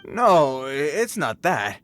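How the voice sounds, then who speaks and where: Nervous male bandit for a game: folksy, hesitant, eager to please, pitch jumping about. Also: very animated, slow pace, fairly bright tone, faint music behind, heavily acted. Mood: nervous, deferential. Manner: hesitant, colloquial. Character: nervous deferential male bandit, none too bright. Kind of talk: video game voice acting.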